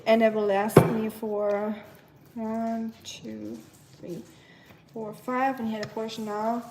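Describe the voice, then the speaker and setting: A woman's voice: a little high, level tone, slow, darkish tone, slight background noise, low-quality recording. A young woman from Germany with a German accent, chatting casually with livestream viewers while she cooks at home, giggling and cooing at her dog. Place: home kitchen.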